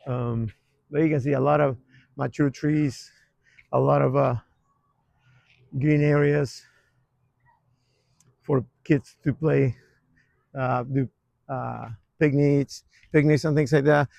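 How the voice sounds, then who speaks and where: A man, giving a relaxed, rambling walking tour of his old neighborhood outdoors, with lots of fillers and restarts. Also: mid-range voice, takes it slowly, gravelly, pauses often, no echo. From Argentina. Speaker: real estate vlogger.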